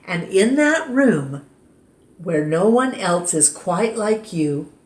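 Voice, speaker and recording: A woman reading a picture book aloud at storytime in a gentle, expressive way. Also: mid-range voice, takes it slowly. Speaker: storytime reader. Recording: roomy echo.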